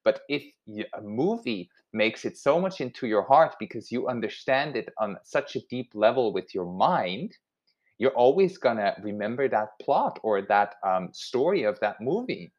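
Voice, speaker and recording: A man's voice: medium pitch, very animated, moderate pace, highs cut off. A man from Switzerland giving an informal motivational talk as an activist, speaking in a conversational way. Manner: conversational, acts out imagined dialogue.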